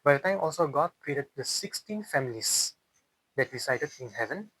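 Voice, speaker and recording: A man's voice: mid-range voice, lively intonation, normal speed, fairly bright tone, no echo, rough recording. A man with a Northeast Indian accent telling a story in an interview, explaining as he goes and often repeating and rephrasing his phrases. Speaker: interviewee telling a folk tale. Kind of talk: unscripted oral storytelling in an interview.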